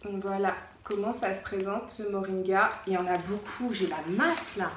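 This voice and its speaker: A woman's voice: medium pitch, steady tone, moderate pace. A woman from France with a French accent, chatting casually as a vlogger, informal and repeating words for emphasis. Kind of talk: casual chatty show-and-tell vlog. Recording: roomy echo, distant mic, faint noise.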